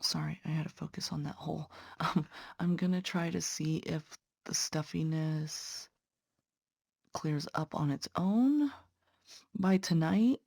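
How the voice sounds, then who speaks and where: A woman's voice: low-pitched, a little slow, vocal fry, darkish tone, husky, dry recording, studio quality. A young woman, a patient care tech and nursing student, chatting earnestly to viewers while stitching, sounding congested. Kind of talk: casual chat while stitching.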